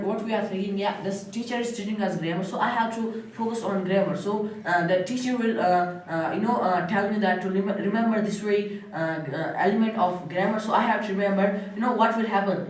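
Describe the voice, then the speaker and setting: A woman's voice: mid-range voice, darkish tone. A woman from Kashmir, speaking English with an Indian accent as a second language, hesitant with many fillers and restarts in a practice conversation. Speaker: English student. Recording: echoey room, far from mic.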